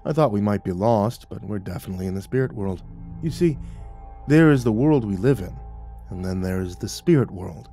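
Audiobook shaman played by a man: low-voiced, calm, unhurried, unbothered mystic with dry teasing, over music. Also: close to an everyday voice. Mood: calm, solemn. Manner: calm, unhurried, matter-of-fact delivery. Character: patient, unconcerned Navajo shaman man, dryly teasing mystic. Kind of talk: audiobook character dialogue.

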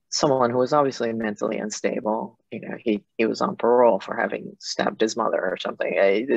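A middle-aged woman from Japan giving reflective, halting, conversational answers as an interview guest on a video call. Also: extremely low pitch, creaky, no echo. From Japan, with years in Germany and Italy. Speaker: interview guest, foundation president. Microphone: computer mic on a video call. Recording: dull video-call audio.

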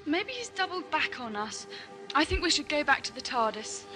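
Actress as a high, lively companion. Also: female voice, moderate pace. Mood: worried. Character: curious, caring young female space travel companion. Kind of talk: sci-fi audio drama acting. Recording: music behind the voice.

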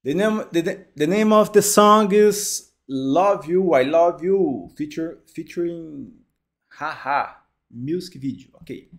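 A Brazilian man in his thirties, speaking English with a Brazilian Portuguese accent, reacts to a music video from a home studio. His voice is gravelly, and he talks slowly, stumbling over and repeating words. He breaks into delighted exclamations, and he is recorded on a headset mic. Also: fairly high pitch. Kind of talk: casual live reaction commentary. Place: home studio, indoors, gaming chair.

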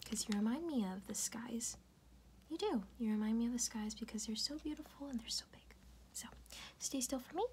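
A woman speaking in a soft, breathy, often unvoiced whisper, tenderly reassuring the listener in an intimate ASMR roleplay. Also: fairly high pitch, a little fast, background noise. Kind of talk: ASMR personal-attention roleplay, comforting affirmations. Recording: music playing behind the voice. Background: music.

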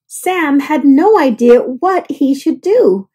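A woman reads a children's picture book aloud expressively, with deliberate dramatic pauses. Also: sits high, lively intonation, warm tone.

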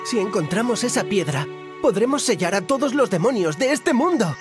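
A woman's voice: fairly high pitch, animated, normal speed, close to an everyday voice. Plucky little snowman boy hero voiced by a woman. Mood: eager, determined. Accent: Castilian Spanish. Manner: exclamatory, eager. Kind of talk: video game cutscene acting. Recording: behind loud game music.

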